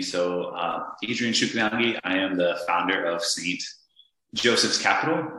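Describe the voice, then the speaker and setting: Deep-voiced man in an echoey room. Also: sharp tone. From Northern Virginia, USA. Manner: earnest, halting, with many fillers and restarts. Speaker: podcast guest, real estate investor. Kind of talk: casual interview conversation.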